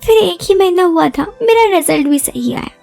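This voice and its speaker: A woman's voice: very high-pitched, lively intonation, moderate pace, creaky, darkish tone, soft background music. A young woman narrating a first-person story in a chatty, confiding way, often addressing listeners with rhetorical asides.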